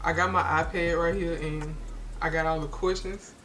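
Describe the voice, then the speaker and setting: A woman's voice: low voice, fairly even intonation, normal speed, a bit bright, a little hiss or hum. A woman, chatting casually to her camera while doing her makeup at home. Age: 20s. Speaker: beauty and faith vlogger. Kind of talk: casual get-ready-with-me chat. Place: home, at a mirror.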